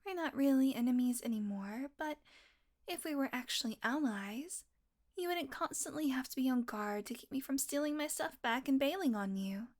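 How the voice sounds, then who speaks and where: A woman playing a sardonic, teasing, predatory vampire with a soft spot, in an intimate, sweary audio roleplay monologue that often drops to a murmur. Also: high-pitched, animated, moderate pace. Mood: wry, musing.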